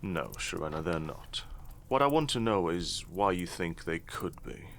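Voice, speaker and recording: A very deep, shrewd aristocratic father. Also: very animated, fairly bright tone, slight background noise, heavily acted. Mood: calm, probing. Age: middle-aged. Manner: measured, formal, wry. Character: shrewd, dry-witted aristocratic father of a grown daughter. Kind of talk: audio drama acting.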